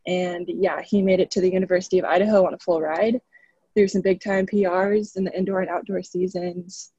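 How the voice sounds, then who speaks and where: A woman's voice: on the low side, flat delivery, fairly quick, mellow, husky, dry recording, highs cut off. A woman in her twenties from Oregon, a student athlete chatting upbeat as a podcast guest, laughing often. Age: 20s. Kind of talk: podcast interview.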